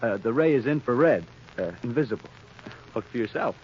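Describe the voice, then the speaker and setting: A dull, faintly noisy 1930s radio drama recording features a man acting a naive American electrician. He has a medium-pitched voice and a folksy, hesitant, eager-to-please way of talking. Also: expressive, brisk, a little mellow, close to an everyday voice. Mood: helpful, deferential.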